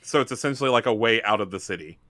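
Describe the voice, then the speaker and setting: Bright-voiced man narrating a tabletop game. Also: lowish voice, animated, rapid-fire, dry recording. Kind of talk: casual improvised game narration.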